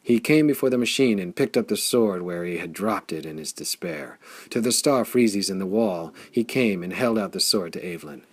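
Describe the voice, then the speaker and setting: A man narrating a pulp adventure story for an audiobook, with a measured reading that grows excited with the action. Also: lowish voice, husky.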